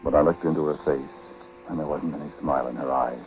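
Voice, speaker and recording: An actor playing an uneasy husband in a 1940s radio drama, speaking colloquially and confidingly in hesitant, halting phrases. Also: male voice, a bass voice, steady tone. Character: uneasy husband in a small-time psychic scheme, narrating confidingly. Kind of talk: 1940s radio drama acting, confessional narration. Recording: muffled, noisy 1940s radio broadcast recording.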